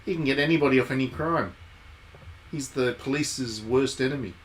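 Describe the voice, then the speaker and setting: A man's voice: mid-range voice, moderate pace, a little hiss or hum. A man giving casual, wry live commentary as he streams a visual novel. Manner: wry, jokey asides. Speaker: game streamer.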